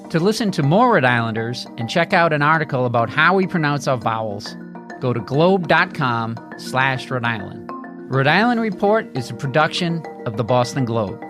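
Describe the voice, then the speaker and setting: A man with a mid-pitched voice reads as a podcast host. His scripted narration sits over clearly audible music. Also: big pitch swings, normal speed.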